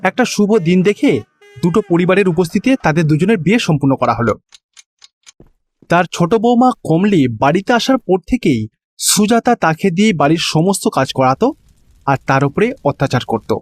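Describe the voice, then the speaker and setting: A man tells a cartoon moral story in a high voice. The sound is dry and close, and the delivery is scripted narration. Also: animated, normal speed, dry recording.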